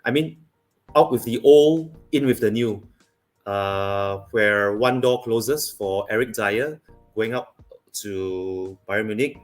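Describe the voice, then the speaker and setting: A man from Malaysia co-hosts a casual livestream and explains things in a measured way, with plenty of fillers. His voice sits a little low. Faint music plays in the background. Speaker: livestream co-host.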